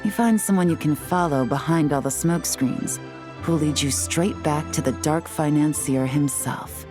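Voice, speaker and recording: A composed female intelligence agent from a video game, with a low voice. She speaks in a measured, knowing way, confident and calculating. Faint music sits behind her. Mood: explaining coolly. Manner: measured, knowing, self-assured.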